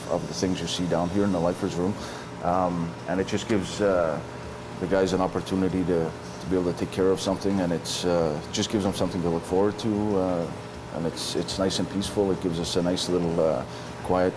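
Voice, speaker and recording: A man, an inmate chairing a lifers group, answering interview questions haltingly with many pauses and restarts. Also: deep voice, flat delivery, background noise.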